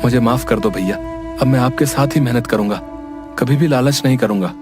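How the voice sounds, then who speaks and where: A man's voice: medium pitch, a little fast, close to an everyday voice. Pleading young village man, acted out, over music. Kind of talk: dramatized story acting. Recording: music under the voice.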